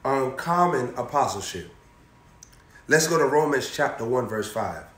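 A young man preaching an energetic sermon, repeating phrases and throwing questions to his listeners. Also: mid-range voice, measured pace. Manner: animated, repetitive preaching with call-and-response questions, moving around. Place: indoor room in front of a banner backdrop. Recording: echoey room.

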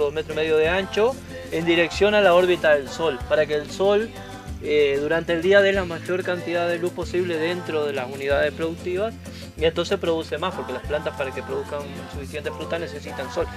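A man's voice: a little high, fairly quick. A man in his forties with an Argentine accent, a nature guide explaining plants patiently with frequent hesitations, recorded outdoors on a forest trail with a lapel mic. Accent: Argentine Spanish. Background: music.

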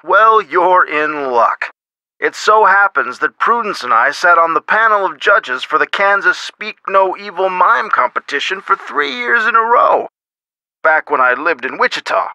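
An avuncular middle-aged lawyer from an adventure game. His voice is fairly high and very bright, with big melodic swings. He talks in a chummy, folksy, theatrical way, recorded close and dry. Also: animated, unhurried, dry recording, lo-fi sound, heavily acted. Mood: jovial. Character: genial, avuncular middle-aged male lawyer and museum board member. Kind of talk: video game voice acting.

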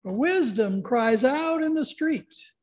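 A male pastor in his sixties leads a worship service from a church sanctuary. He speaks slowly as he guides listeners through scripture, heard through a webcam a short distance away. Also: very high voice, lively intonation. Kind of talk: leading worship, introducing and reading scripture.

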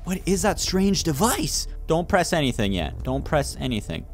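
A young man playfully hosting a group gameplay roleplay, excitable, bossy and theatrical. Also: fairly high pitch, normal speed, faint music behind. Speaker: gaming video host, leading a group roleplay.

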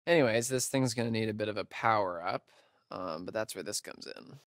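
A man's voice: fairly low pitch, brisk, fairly bright tone, dry recording, clean studio sound. A young man with an American accent playing a sarcastic, nervy camp counselor in a video game, speaking in a hesitant, stammering way. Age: young adult. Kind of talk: naturalistic video game acting.

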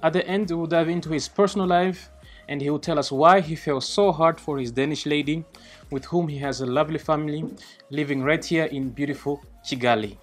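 Young man hosting, earnest and relaxed. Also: on the high side, brisk, soft background music. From Rwanda, raised in the Netherlands. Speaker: host. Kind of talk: scripted intro, then casual interview. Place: indoor room by glass doors for the intro, rooftop terrace for the chat.

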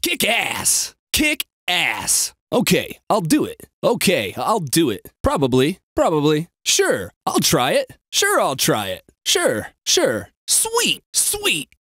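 A man's voice: fairly high pitch, wildly swooping pitch, slow pace, hardly any pauses, sharp tone, dry recording, clean studio sound, exaggerated voice. An actor voicing a jumpy, crude, sarcastic male bystander in a video game, with slangy, over-the-top delivery. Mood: agreeable. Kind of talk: exaggerated game bark lines, each repeated.

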